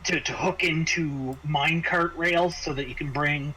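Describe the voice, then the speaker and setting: A man acting as game master, improvising scene descriptions in halting phrases with frequent repeats. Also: on the high side, fairly even intonation, bright, forceful tone, low-quality recording. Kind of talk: improvised narration of a tabletop game. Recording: faint background noise.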